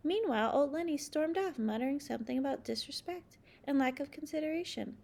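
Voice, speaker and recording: A woman reading a children's storybook aloud as narrator, with expressive, dramatic emphasis. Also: high voice, brisk. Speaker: storybook reader.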